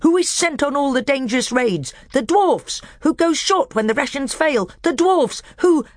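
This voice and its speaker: A woman's voice: high-pitched, animated, normal speed, no echo, studio quality, voice pushed up. A woman reading aloud, voicing a scheming, bitter male dwarf who speaks coldly and snaps. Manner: cold, snapping, rising to a scream.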